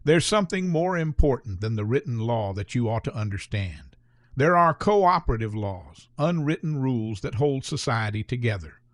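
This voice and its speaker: A man voicing a stern, authoritative older gentleman who lectures some boys, then softens. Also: medium pitch, expressive, normal speed, no echo, close to an everyday voice. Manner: firm, authoritative.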